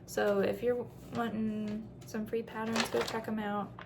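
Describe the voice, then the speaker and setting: A woman's voice: fairly high pitch, level tone, unhurried, creaky, very noisy. A woman chatting casually through a craft show-and-tell as host, in a rambling way with self-deprecating asides.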